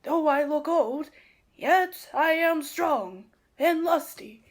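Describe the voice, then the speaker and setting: Woman playing an anxious old servant man, high-voiced. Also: animated. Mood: earnest, devoted. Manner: earnest, emotive, slow declamation. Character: devoted old male servant, anxious and loyal. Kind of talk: Shakespearean radio play acting.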